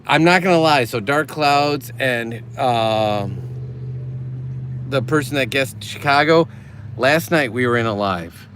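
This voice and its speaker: A middle-aged man talking easily in a mid-range voice as he hosts a live chat from inside a car. He greets viewers by name, chatty and quick to laugh. A faint hiss of noise sits under his voice. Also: mid-range voice, fairly bright tone. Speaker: livestream host. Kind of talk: casual live chat reading viewer comments.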